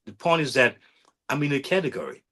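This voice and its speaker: A man's voice: mid-range voice, very animated, brisk, pushed and bright. A man in his forties hosting his own podcast in a casual motivational monologue, earnestly coaching listeners and repeatedly checking in with "all right?". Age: 40s. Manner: repetitive, keeps asking "all right?"; earnest, self-promoting coach. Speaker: podcast host.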